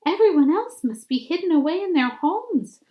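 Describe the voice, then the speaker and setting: A woman's voice: extremely high pitch, very animated, moderate pace, mellow, voice pushed up. A woman voicing a small, lonely and anxious girl mouse in a storybook, musing wistfully.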